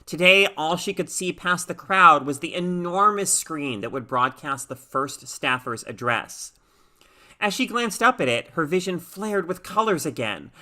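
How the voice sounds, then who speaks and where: A woman reading a short story aloud as an expressive, dramatic narrator. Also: fairly low pitch. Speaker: story reader.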